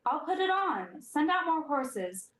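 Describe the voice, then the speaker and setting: A young woman plays a defiant tyrant king in a Shakespeare radio drama. The voice is fairly high and commanding, declaiming verse in a very echoey room. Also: very animated, measured pace, fairly bright tone, a little hiss or hum, exaggerated voice. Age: young adult. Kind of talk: 1940s radio play Shakespeare acting.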